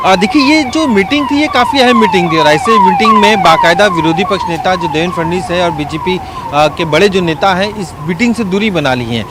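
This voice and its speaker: A man reporting live from the field, speaking off the cuff and haltingly with frequent filled pauses. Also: high voice, huge pitch swings. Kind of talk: unscripted live news report. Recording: outdoor city traffic noise.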